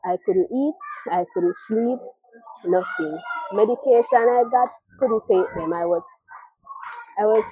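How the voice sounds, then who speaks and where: A woman giving a personal testimony as spoken storytelling, in halting short phrases with pauses and repeats. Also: mid-range voice, breathy edge, no echo, phone-quality sound. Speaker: storyteller, mother sharing her own story.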